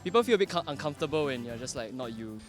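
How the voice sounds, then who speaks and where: A man's voice: medium pitch, animated, racing, over music. A man explaining his views thoughtfully in a street interview.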